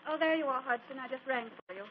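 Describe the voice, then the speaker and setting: A woman's voice: high voice, fast, natural voice. An actress playing a composed, commanding aristocratic lady in a 1940s radio drama. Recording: noisy, tinny vintage radio broadcast.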